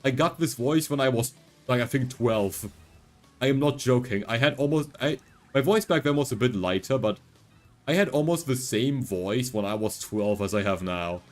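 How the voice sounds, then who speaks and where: A man in his twenties with a deep voice, streaming a game and rambling casually, reassuring his chat with lots of fillers. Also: expressive, normal speed, faint music behind. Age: 20s.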